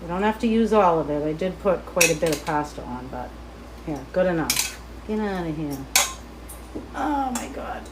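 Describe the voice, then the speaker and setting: A woman with a light Boston accent hosting a live cooking demo from her kitchen, chatty and excitable as she talks to viewers. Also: on the low side, moderate pace, heavy background noise. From Boston area. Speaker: cooking show host. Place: home kitchen, indoors.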